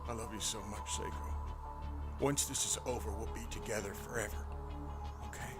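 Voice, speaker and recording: A man's voice: on the low side, steady tone, moderate pace, close to an everyday voice. A man voicing a corrupt police detective, a desperate, possessive lover who pleads intensely, over an audio drama's music. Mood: urgent pleading. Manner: pleading and intense, swinging from hushed to shouting.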